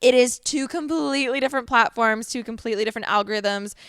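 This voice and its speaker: Young woman, podcast co-host, bubbly, laughs often, fairly high voice, smooth tone, dry close sound. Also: fast, fairly bright tone, dry recording. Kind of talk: casual chat. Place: lying on a couch at home.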